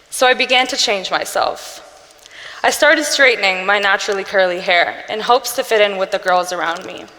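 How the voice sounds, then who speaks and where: A young woman giving an earnest personal storytelling speech, measured, with pauses between phrases. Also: mid-range voice, sharp tone. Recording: long reverb from a hall-like room.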